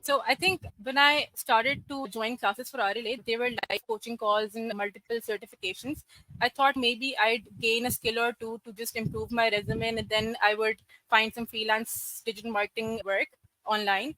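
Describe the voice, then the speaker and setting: A woman in her twenties from Karachi, a podcast guest telling her career story in a steady, articulate, matter-of-fact way. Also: fairly high pitch, normal speed, no echo, poor audio. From Karachi, Pakistan. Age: 20s. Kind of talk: podcast interview.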